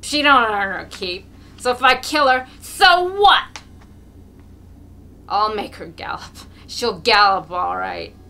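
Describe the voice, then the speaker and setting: A woman voicing a brutal drunken peasant man in a storybook reading, shouting in a coarse, bullying way. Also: mid-range voice, animated, takes it slowly, gravelly, exaggerated voice. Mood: callous, defiant.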